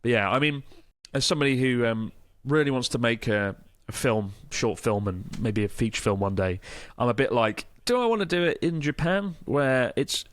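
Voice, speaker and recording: A man's voice: medium pitch, moderate pace, hardly any pauses, dry recording, clean studio sound. A man in his thirties with a Northern English accent, co-hosting a casual podcast, chatting and reading listener mail aloud with laughter. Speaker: podcast co-host.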